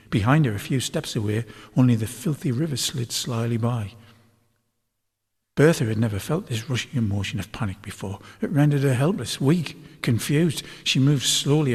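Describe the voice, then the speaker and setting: A man reading a story aloud as scripted narration, in a measured, dramatic literary manner. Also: mid-range voice, a little mellow, breathy edge. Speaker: author reading a novel chapter aloud. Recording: long hall-like reverb.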